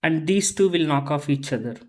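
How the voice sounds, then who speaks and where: An instructor giving a methodical, step-by-step explanatory lesson on accounting software, often repeating phrases while explaining. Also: medium pitch, expressive, fairly quick.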